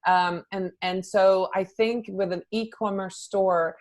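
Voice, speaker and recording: A middle-aged woman from the Netherlands talks through her work as a podcast guest. Her voice sits at an ordinary pitch, and she speaks in a loose, chatty way with lots of ums and restarts. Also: steady tone, slow pace. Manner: chatty, many fillers and restarts. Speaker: social media consultant, podcast guest. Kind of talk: conversational interview answers.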